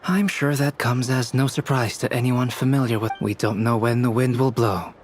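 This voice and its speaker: Man as a calm, scholarly young gentleman. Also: medium pitch, a little hiss or hum, close to an everyday voice. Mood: calm, matter-of-fact. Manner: formal, measured. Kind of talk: English video game voice acting.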